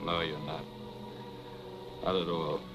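An actor playing a suave young husband in a 1940s film melodrama, wry, evasive and easily exasperated. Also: male voice, rumbling low, level tone, takes it slowly. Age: young adult. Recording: muffled 1940s film soundtrack, music behind.

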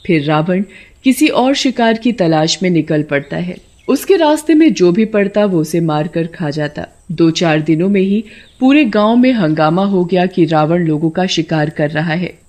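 A woman's voice: on the low side, animated, normal speed, darkish tone. A woman narrating a scripted story for a cartoon.

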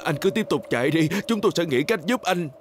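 Brief: male actor as a calm, slightly high cartoon know-it-all, over music. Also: fast, natural voice. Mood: reassuring. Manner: explaining, reassuring. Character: skinny clever young man, calm explainer. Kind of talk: cartoon dub. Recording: loud music behind.

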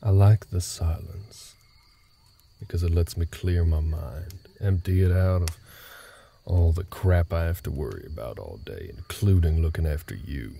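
A man playing a gruff, guarded cowboy ranch hand in an intimate roleplay audio drama, speaking tersely in rustic, folksy phrasing. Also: sits low, measured pace, dark tone, slight background noise. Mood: gruff, irritated. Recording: nighttime cricket ambience behind voice. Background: crickets.

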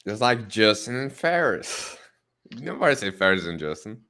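A man's voice: on the low side, big pitch swings, moderate pace, bright, forceful tone. A man in his twenties hosting a show over a headset from home, teasing his co-host in casual chat. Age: 20s. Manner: teasing, playful. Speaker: podcast host. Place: home room. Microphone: headset on video call.

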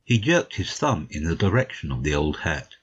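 A man playing a courteous gentleman narrator, telling a detective story in a measured, literary way, on a dull recording. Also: very deep voice, very animated, breathy edge, full character voice.

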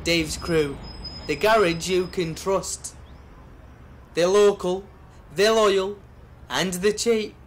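Guy doing ad voiceovers, pretty high voice, really theatrical, bit of music under it. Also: steady tone, takes it slowly, long pauses, a little hiss or hum. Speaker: voice-over actor. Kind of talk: advert voice-over reel, acted sales scripts.

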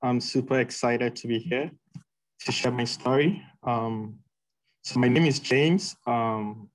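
A young man from Ghana tells his story with eager enthusiasm. His voice sits at an ordinary middle pitch and comes through a video call. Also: a little hiss or hum, poor audio. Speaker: PhD student panelist. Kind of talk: presentation over video call.

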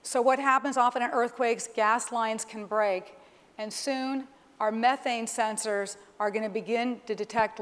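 A middle-aged woman presents a live keynote demonstration, explaining as she goes. Her voice is on the high side and rings with the long reverb of a large hall. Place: large conference stage, indoors. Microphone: clip-on lapel microphone. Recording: reverberant hall sound through PA.

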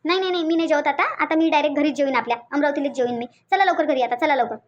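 A woman's voice: high voice, very fast, muffled recording, a little hiss or hum, lightly acted. A woman voicing a jealous, insecure young wife in a Marathi cartoon, speaking Vidarbha dialect and insistently repeating her demands. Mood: indignant, jealous. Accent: Vidarbha (Varhadi) Marathi. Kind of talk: Marathi cartoon story dialogue.

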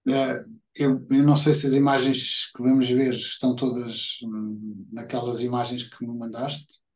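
A middle-aged man with a European Portuguese accent, hosting an informal interview and rephrasing his questions haltingly as he goes. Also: mid-range voice, level tone, a bit bright, low-quality recording. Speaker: host interviewer. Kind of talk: informal video-call interview. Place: indoor room, video call. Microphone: computer mic on a video call.

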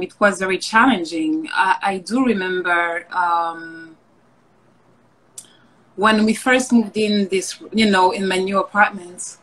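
A woman's voice: mid-range voice, fairly bright tone, low-quality recording. A woman who grew up in France, speaks casually and reflectively as an interview guest over a video call. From Paris suburbs, France.

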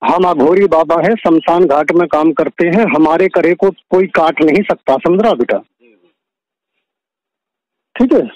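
A man with a high-pitched voice talks over a dull phone line as a self-styled holy man. He is insistent and paternal, going over his points again and checking he is understood. The sound is dry. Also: unhurried, long pauses, no room sound. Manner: paternal address, insistent, repeats himself with constant check questions. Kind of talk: phone conversation, sales pitch. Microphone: telephone. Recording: muffled lo-fi recorded phone call.

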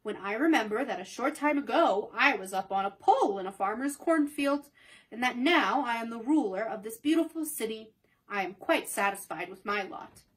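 A woman voicing a kindly, thoughtful scarecrow ruler in a storybook reading, speaking slowly and deliberately. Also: fairly high pitch, expressive, breathy edge, poor audio.

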